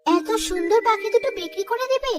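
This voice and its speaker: Actress doing a nosy doubting wife, cartoon, super high girly voice, talks fast. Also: over music. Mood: questioning, doubtful. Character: curious, doubting village wife. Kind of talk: children's cartoon voice acting.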